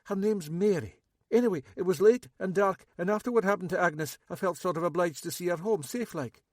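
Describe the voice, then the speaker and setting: A man voicing a jittery, battered young man who talks fast and rambles anxiously, with a Scottish accent. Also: sits high, dry recording, clean studio sound, voice pushed up. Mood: nervous. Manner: excitable, rambling, self-pitying.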